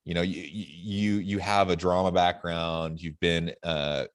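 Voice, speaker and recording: A man with a low voice hosts a podcast interview. He talks in measured phrases and stretches certain words for emphasis. The sound is very dry, with no room around it. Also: fairly even intonation, no room sound. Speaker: podcast host. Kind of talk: podcast interview with a read-out guest introduction.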